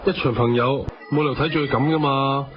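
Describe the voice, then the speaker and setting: An actor playing a worried, loyal taxi owner and blunt friend in a radio drama, with a Hong Kong Cantonese accent and colloquial delivery. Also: male voice, mid-range voice, steady tone, a little fast, close to an everyday voice. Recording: dull lo-fi radio audio with music.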